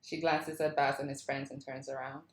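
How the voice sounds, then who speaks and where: A woman's voice: extremely low pitch, level tone, moderate pace. A woman narrator reading screenplay stage directions aloud. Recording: roomy, echoing sound.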